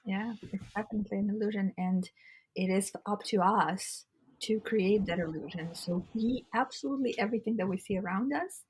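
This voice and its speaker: A woman co-hosting a casual spiritual podcast chat, with a raspy, congested voice, speaking earnestly and often restarting her phrases. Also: medium pitch, slight background noise, rough recording. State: congested throat. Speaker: podcast co-host.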